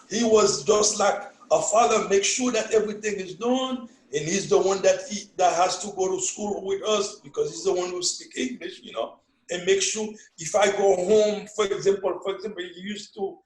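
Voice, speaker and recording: A man in his sixties from Haiti with a strong Haitian accent, telling stories in an animated way in a casual family conversation. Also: sits high, echoey room, low-quality recording. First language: Haitian Creole. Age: 60s. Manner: animated storytelling, broken English phrasing. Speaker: guest, father.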